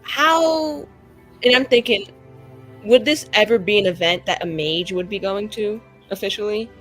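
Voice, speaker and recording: A woman's voice: mid-range voice, normal speed, fairly bright tone. Chatty, rambling woman over music. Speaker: tabletop roleplaying player. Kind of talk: improvised in-character chat in a tabletop game. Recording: music behind the voice. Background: background music.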